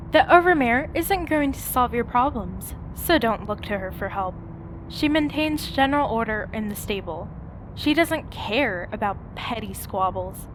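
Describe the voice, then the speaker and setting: A woman voicing a cynical pony survivor, narrating a post-apocalyptic radio play in a measured, dramatic first-person style. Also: fairly high pitch, noisy background, lightly acted. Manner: measured, dramatic storytelling with rhetorical questions. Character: cynical female pony survivor raised in an underground bunker. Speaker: narrator and main character.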